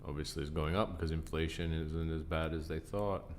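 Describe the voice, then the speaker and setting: A man hosting a casual live trading stream, croaky and tired, answering chat questions in a relaxed way. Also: fairly quick, a little hiss or hum. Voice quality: croaky, deep from sinus trouble. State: tired, up a long time. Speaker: livestream trading host.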